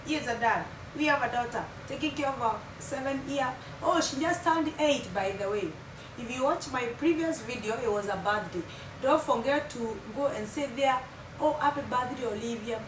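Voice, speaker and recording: A woman's voice: on the high side, normal speed. A woman in her thirties from Kenya with a Kenyan accent, whose first language is Kimeru, telling her story to viewers in a chatty vlog and dropping Swahili phrases. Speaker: vlogger. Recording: echoey room from far off, loud background hum.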